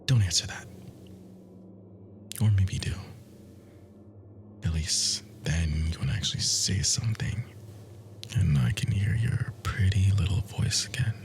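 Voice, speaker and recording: A man playing a bitter, obsessive immortal monster spurned by a lover, in a breathy, theatrical ASMR roleplay monologue. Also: deep voice, faint music behind. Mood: menacing tenderness. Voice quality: breathy, hushed, whispery. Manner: slow, menacing, theatrical, intimate.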